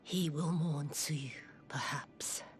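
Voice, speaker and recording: Slow, hushed, brooding lady. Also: sits low, steady tone, faint music behind. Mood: bitter, wistful. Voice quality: breathy, hushed, near whisper. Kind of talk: dramatic video game cutscene acting.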